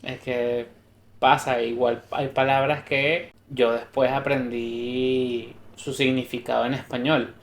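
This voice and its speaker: A man's voice: mid-range voice, flat delivery, slow. Man chatting in an echoey room. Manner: thoughtful, explains with restarts and examples. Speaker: podcast co-host. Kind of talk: casual conversational podcast chat. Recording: roomy echo.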